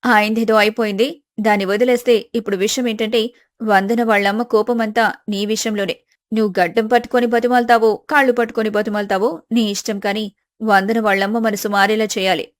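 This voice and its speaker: A woman's voice: medium pitch, little pitch movement, brisk, no room sound, natural voice. A woman voicing a bossy but caring young working woman who scolds and advises in a story. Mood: firm, advising.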